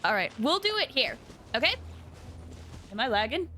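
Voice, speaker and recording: A woman game streamer chatting casually with her viewers in an excitable, chatty manner. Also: high voice, normal speed, a little hiss or hum. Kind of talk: casual livestream chat with viewers.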